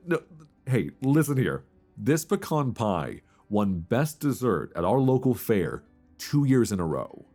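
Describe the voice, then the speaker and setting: A man's voice: medium pitch, sing-song, slow pace, mellow, soft background music, full character voice. A man playing a playful, easily flustered old friend in an intimate, conversational romantic audio roleplay, teasing and stammering as he chats. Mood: mock offended. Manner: teasing, stammering, chuckling banter.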